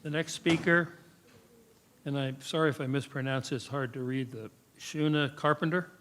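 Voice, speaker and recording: A man's voice: mid-range voice, fairly even intonation, normal speed, a bit bright. A man chairing a meeting, curt and procedural, calling speakers. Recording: distant mic with clicking noise.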